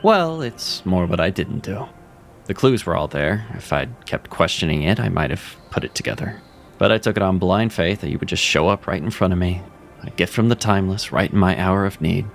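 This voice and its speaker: A man's voice: low-pitched, moderate pace, vocal fry. A man voicing Seraphin, a wry cat mentor. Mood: steady. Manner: steady, reassuring, self-critical. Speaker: voice actor. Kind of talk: audio drama acting. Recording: music underneath.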